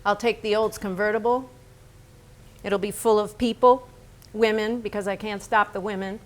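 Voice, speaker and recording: A woman acting in a staged reading as a blunt, self-assured American male painter, speaking in deliberate, declarative phrases. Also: medium pitch, fairly even intonation, close to an everyday voice. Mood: grim, matter-of-fact. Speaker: stage actor.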